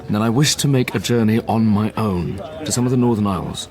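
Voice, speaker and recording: A man's voice: fairly low pitch, brisk, darkish tone, soft background music, natural voice. A male actor playing a brooding, obsessive scientist in a gothic audio drama, formal and intense. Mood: curt, restless.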